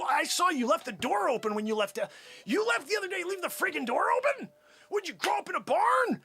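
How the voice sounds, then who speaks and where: A man acting a pushy, bragging, sleazy cold-call salesman, improvising comic patter in a husky, strained voice that is brash, wheedling and repetitive. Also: very high voice, exaggerated voice. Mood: mocking, aggressive. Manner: brash, wheedling, repetitive, swinging between whispers and yells.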